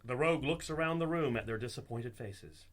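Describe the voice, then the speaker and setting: A man as a wry storyteller narrating a tavern fantasy comedy. His voice is mid-pitched, with clear noise behind it. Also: fairly quick, close to an everyday voice. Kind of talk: scripted narration of a comedy radio play. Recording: clearly noisy background.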